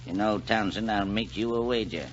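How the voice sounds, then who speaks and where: Guy acting grumpy old rich cynic, lowish voice, blustering, muffled 40s radio recording. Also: normal speed, close to an everyday voice. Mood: cynical, mocking. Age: older. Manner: blustering, scornful. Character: cantankerous, cynical elderly club gentleman, Gordon.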